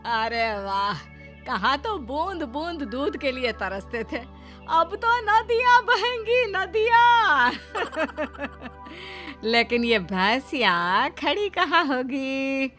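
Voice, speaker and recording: A bright, very high voice carries this middle-aged cartoon mother-in-law, acted by a woman. She is excitable and exclamatory, swinging widely in pitch as she goes. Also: very slow, soft background music, heavily acted. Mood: delighted. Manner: exclamatory, animated. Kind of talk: cartoon moral-story acting.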